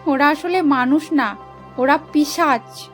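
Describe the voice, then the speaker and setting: A woman's voice: sits high, takes it slowly, soft background music. A woman playing a wronged, grieving young mother in a cartoon moral story, declaiming with righteous defiance. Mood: bitter outrage. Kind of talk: dramatic animated moral story acting.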